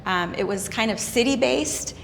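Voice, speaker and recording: A woman in her fifties chatting on a talk show panel, telling stories in a lively way and often restarting her sentences. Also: on the high side, normal speed, gravelly. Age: 50s. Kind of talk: candid panel chat. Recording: reverberant room with faint noise.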